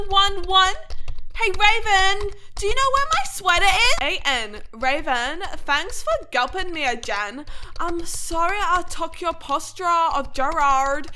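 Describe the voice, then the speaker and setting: A woman's voice: very high voice, exaggerated voice. A woman voicing a ditzy, bratty, gushing teenage girl author in a parody cartoon. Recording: some background noise.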